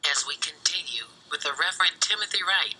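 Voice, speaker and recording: A woman's voice: on the low side, fairly quick, sharp tone, breathy edge, rough recording. An American woman hosting a gospel music radio show as an announcer, with upbeat, cheerful DJ patter. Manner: cheerful, greeting listeners and reading dedications. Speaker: radio announcer and guest host. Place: radio studio. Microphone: broadcast studio microphone. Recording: radio aircheck with music underneath.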